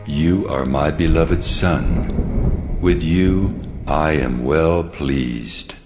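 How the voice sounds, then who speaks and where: Heavenly God: extremely low, drawn-out delivery, solemn, majestic, cavernous reverb. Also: male voice, exaggerated voice. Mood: solemn, loving. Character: God speaking from heaven, solemn tender male divine father. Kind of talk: dramatized audio Bible acting. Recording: long reverb, loud music underneath.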